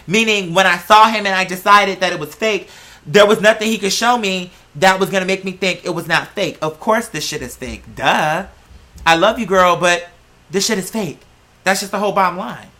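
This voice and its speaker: Female reaction vlogger. Bright, punchy voice, blunt and exasperated, casual delivery. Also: fairly low pitch. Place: indoors at a computer. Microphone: plug-in computer microphone.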